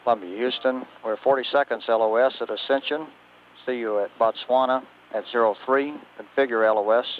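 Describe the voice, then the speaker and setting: A man's voice: mid-range voice, steady tone, fairly quick. An American man working as a mission control communicator, speaking in procedural radio calls over a two-way radio link. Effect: two-way radio transmission. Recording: tinny, noisy 1980s space radio link.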